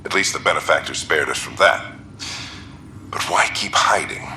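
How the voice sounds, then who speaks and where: An actor playing a grave, weary military explorer recording a log, in a gravelly voice with clipped delivery. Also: male voice, extremely low pitch, moderate pace, pushed and bright, a little hiss or hum, full character voice. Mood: grave, wary.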